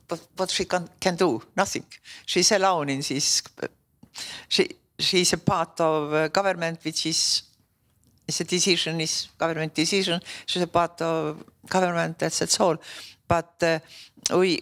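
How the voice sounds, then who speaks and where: A man with a fairly high voice speaks on a conference panel. He talks in an earnest, halting way, stumbling and repeating words as he makes his point. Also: a bit bright. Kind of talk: panel discussion talk.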